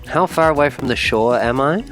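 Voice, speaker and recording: A man's voice: medium pitch, a little fast, fairly bright tone. A man with a New Zealand accent chatting casually as a tabletop game player, hesitant and thinking aloud. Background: faint music.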